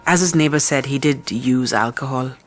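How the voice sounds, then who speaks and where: An actor playing a defensive professional in a radio drama, hesitant and self-justifying as they give a careful account. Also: male voice, mid-range voice, normal speed, fairly bright tone, faint music behind, lightly acted. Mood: defensive, uneasy. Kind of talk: naturalistic radio drama acting.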